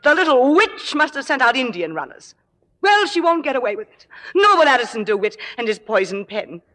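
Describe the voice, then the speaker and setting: Bright, sharp-tongued, imperious stage diva. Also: female voice, sits high, sing-song, brisk. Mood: angry, scornful. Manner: biting, theatrical, emphatic. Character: imperious, sardonic middle-aged stage star, sharp-tongued. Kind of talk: 1950s radio drama acting. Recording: old radio broadcast, reverberant, music behind.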